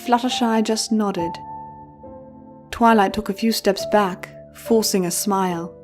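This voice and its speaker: Female narrator, scripted storytelling, medium pitch, faint music behind. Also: fairly even intonation, a little slow.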